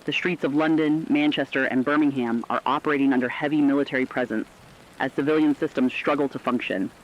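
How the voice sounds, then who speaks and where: Through dull, lo-fi radio sound comes a man acting a serious male news correspondent. He reads scripted dystopian crisis reports at a medium pitch, formal and measured throughout. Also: steady tone, close to an everyday voice.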